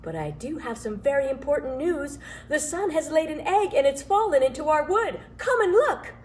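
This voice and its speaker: A woman voicing an excitable little female elf with a high, eager voice. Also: a little slow, exaggerated voice.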